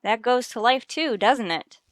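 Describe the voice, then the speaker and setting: An American actress playing a wry, sardonic schoolteacher with dry delivery in a vintage radio sitcom. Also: female voice, on the high side, expressive, measured pace, no echo. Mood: dry, coaxing.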